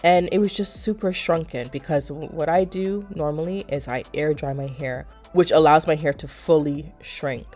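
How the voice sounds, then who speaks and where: Low-voiced woman, chatty vlog, slightly nasal. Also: moderate pace, few pauses, a little mellow, soft background music. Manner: chatty, explanatory, addresses viewers as you guys. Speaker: beauty vlogger. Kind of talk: casual vlog voice-over.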